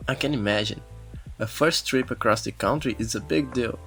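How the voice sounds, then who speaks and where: A man's voice: medium pitch, moderate pace, vocal fry, a bit bright, soft background music. A man playing a kind, attentive café customer, supportive and articulate, in a fan-dubbed visual novel. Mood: friendly, curious.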